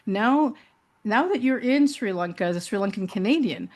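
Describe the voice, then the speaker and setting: A woman's voice: medium pitch, lively intonation, moderate pace. A woman hosting a talk show, setting up her guest in a chatty, unscripted way. She often trails off with fillers and restarts before she picks up her thought again. Her voice sits in a medium range. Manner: warm-hearted, chatty, with many fillers. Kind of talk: interview hosting.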